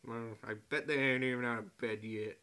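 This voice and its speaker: A man's voice: mid-range voice, fairly even intonation, normal speed, pushed and bright, smooth, voice pushed down, lightly acted. A man voicing a sleepy, hapless, folksy young newspaper helper in a comic amateur radio play reading. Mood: meek.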